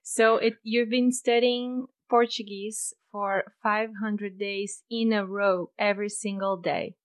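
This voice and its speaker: A woman hosting a podcast interview in her home office, cheerful and quick to laugh. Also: medium pitch, fairly even intonation, clean tone. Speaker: podcast host.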